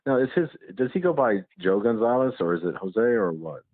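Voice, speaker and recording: A man's voice: medium pitch, sing-song, brisk, no room sound. A man interviewing a musician over a muffled phone line in a casual, hesitant way, rambling through his questions.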